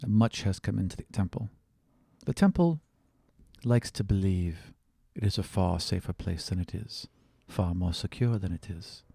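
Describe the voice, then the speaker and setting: Man playing a grave, measured female teacher, low voice. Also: vocal fry, dark tone, breathy edge, dry recording, clean studio sound, exaggerated voice. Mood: grave, cryptic. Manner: measured, terse, formal phrasing without contractions. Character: grave, guarded female temple teacher, cryptic and troubled.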